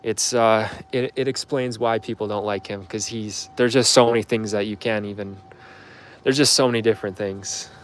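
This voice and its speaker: A man's voice: lowish voice, fairly even intonation, normal speed, a little hiss or hum. Young guy rambling on his stream, lots of ums and likes, just chatting with viewers. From Canada. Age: 20s. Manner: rambling, filler-heavy, offhand. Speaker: livestream host. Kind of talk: casual livestream chat reading viewer questions.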